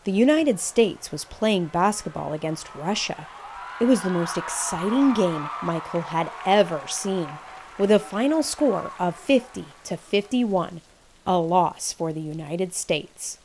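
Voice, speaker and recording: A woman reading a children's picture book aloud as a storyteller, in an expressive, measured way. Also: fairly low pitch, background noise.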